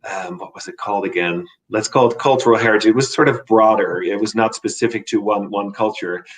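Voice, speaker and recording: A man sharing stories on a panel over a video call, measured and reflective, with frequent hesitations. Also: fairly low pitch, slight background noise, rough recording. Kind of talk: conversational panel storytelling.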